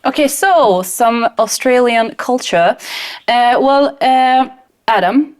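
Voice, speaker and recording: A woman's voice: fairly high pitch, lively intonation, slow pace. A woman interviewing a guest in a playful, teasing, casual way.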